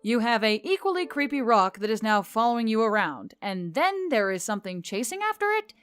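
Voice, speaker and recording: A jaded male boss of treasure hunters , as a woman reads him, talks with dry derision. He is gruff and deadpan, worn out by other people's trouble. It is a fantasy audiobook with music underneath. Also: fairly high pitch, fairly quick. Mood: exasperated.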